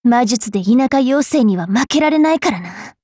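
A woman voicing a bookish, sharp-tongued young fairy witch knight in an anime mobile game, switching between prim politeness and rough taunts. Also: on the high side, animated, normal speed, no room sound, studio quality. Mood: irritated.

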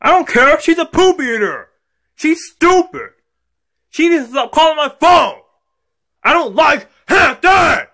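Man as defiant young son, high-pitched, whiny, loud, sharp, wildly swooping, amateur skit. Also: very animated, moderate pace, few pauses, breathy edge, full character voice. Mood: angry tantrum. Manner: whiny, shouting, petulant name-calling. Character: bratty, defiant young son throwing angry tantrums.

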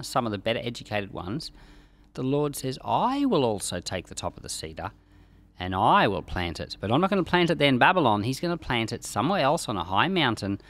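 A man in his forties giving informal, explanatory Bible teaching, conversational but emphatic on key words, close to a desk microphone. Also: medium pitch. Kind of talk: explanatory Bible teaching, reading scripture aloud. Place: small indoor study with bookshelves. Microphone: close desk microphone on boom arm.

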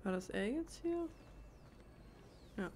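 A woman's voice: medium pitch, expressive, slow, darkish tone, a little hiss or hum. A woman giving casual gameplay commentary, rambling and thinking aloud as she plays. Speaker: let's player. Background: game music.

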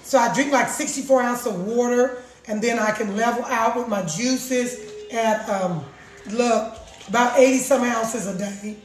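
A middle-aged woman with a raspy voice chatting casually to her viewers about juicing, recorded in her home kitchen on a phone. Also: fairly high pitch, unhurried. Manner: chatty, repeats herself, addresses viewers as guys. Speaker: juice vlogger. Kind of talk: casual live chat. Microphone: phone camera across the counter. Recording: roomy kitchen echo.

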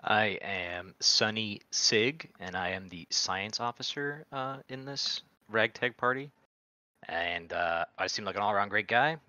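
Low, bright-edged man on a muffled call. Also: lively intonation, a little slow, dry recording. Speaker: tabletop game player. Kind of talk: casual character introduction. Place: online group call. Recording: slightly muffled online call.

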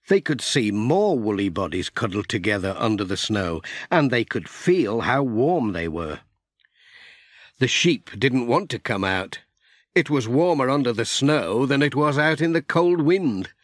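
A man narrating a children's story audiobook in an expressive storytelling manner. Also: mid-range voice, unhurried, dry recording, studio quality.

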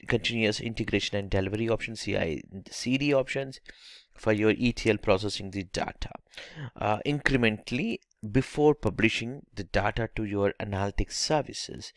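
A man giving a technical slide lecture as an instructor, halting, with frequent filler phrases and repeated words. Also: medium pitch, dry recording, studio quality.

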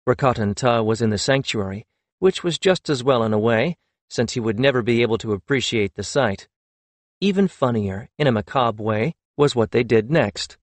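A man's voice: mid-range voice, moderate pace, no echo. Man narrating a fantasy audiobook, very dry sound.

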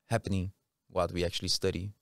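A man's voice: sits low, flat delivery, quick pace, dry recording. A man in his thirties with a Brazilian accent, chatting casually as a guest on a podcast, close on a studio mic. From Rio, Brazil. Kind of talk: casual interview talk. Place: small curtained podcast studio. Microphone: close studio mic on boom arm.

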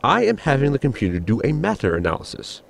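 A man playing a formal, pedantic science officer in a sci-fi comedy audio drama, with a gravelly voice and precise technical wording. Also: medium pitch, wildly swooping pitch, fast, background noise. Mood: dry, formal.

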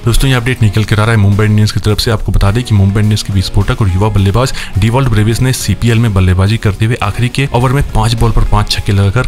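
Fast-talking man reading cricket news. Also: on the low side. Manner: rapid, steady newsreader delivery addressing viewers as friends. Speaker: cricket news channel host. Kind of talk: scripted news roundup voice-over.

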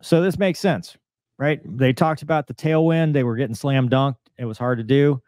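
A man's voice: medium pitch, normal speed, a little mellow, no echo. A man in his forties giving casual, conversational explanatory commentary as a host, using pilot jargon. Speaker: host, former fighter and airline pilot commentator. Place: small home room, on a video call.

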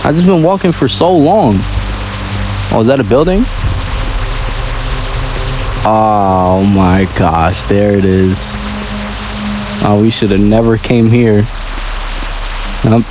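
A man's voice: on the low side, slow, pauses often, over music, poor audio. A man giving casual, slangy gameplay commentary as a gaming video host. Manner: animated, slangy reactions.

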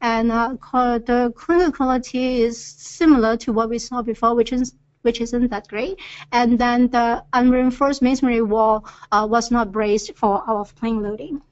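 A woman with a fairly high voice walks through slides in a recorded webinar, pausing often on filler sounds. The audio is dull and lo-fi. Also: steady tone, a little mellow. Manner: hesitant, many filler "uh"s. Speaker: earthquake reconnaissance presenter.

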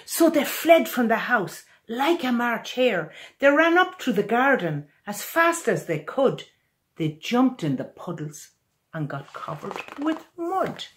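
An older woman in her sixties reads a children's picture book aloud in an animated, expressive way, recorded in a small room at home. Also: a little high. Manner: animated, expressive, deliberate storytelling. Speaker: children's author reading own picture book. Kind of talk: storybook read-aloud for children.

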